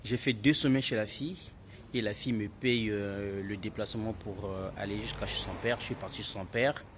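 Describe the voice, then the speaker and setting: A man's voice: fairly low pitch, fairly even intonation, normal speed. A man with a Congolese French accent casually tells his personal story in an emphatic, repetitive way, addressing listeners as buddies. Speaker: vlogger telling his own story. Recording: clearly noisy ambient background.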